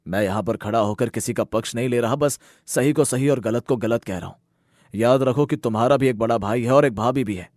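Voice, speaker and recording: A man voicing a stern, fair-minded older father with a measured, reproachful manner, in a Hindi moral story cartoon. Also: medium pitch, darkish tone, no echo, clean studio sound, natural voice. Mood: stern, disappointed.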